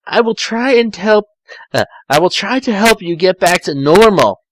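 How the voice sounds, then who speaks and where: Man doing a brash young cartoon hedgehog, male, excitable, raised pitch, dry recording, fan fiction reading. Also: moderate pace, dry recording. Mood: concerned, willing.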